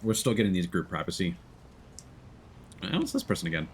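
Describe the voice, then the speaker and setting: A man's voice: sits low, very animated, fairly quick, slight background noise. A man chatting casually as he livestreams a game, drifting between his own thoughts and greetings to viewers. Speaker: livestreamer, VTuber. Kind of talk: casual livestream chat over gameplay.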